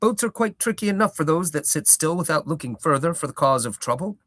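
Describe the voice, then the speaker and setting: A man's voice: a little high, animated, fast, a bit bright, no room sound, clean studio sound, voice pushed up. A man voicing a garrulous old male hobbit gardener who rambles in a folksy, opinionated way. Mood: scolding.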